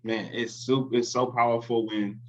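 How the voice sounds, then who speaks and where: A man hosting a podcast, chatting in a relaxed, encouraging way and sharing a story of his own. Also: mid-range voice, moderate pace, poor audio. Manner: conversational, encouraging, laughs along. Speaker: podcast host. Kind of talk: casual chat.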